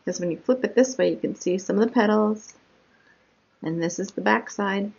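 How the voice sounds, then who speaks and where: A woman reading a picture book aloud and commenting on it in an unhurried, gently explanatory manner. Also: medium pitch, gravelly, slightly rough, dull audio. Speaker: storyteller, art teacher.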